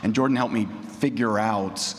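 A man's voice: lowish voice, fairly even intonation, moderate pace. A man talking casually and a bit ramblingly on a panel, recorded in a noisy, echoey room. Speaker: panelist, audio drama creator. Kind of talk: conversational panel discussion.

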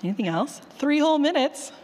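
A woman's voice: high-pitched, animated, a little fast, a little mellow, slight background noise. A woman giving a casual, chatty workshop talk and taking questions, informal and self-deprecating, with a ready laugh. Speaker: conference speaker on audio drama writing. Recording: echoey hall with long reverb.

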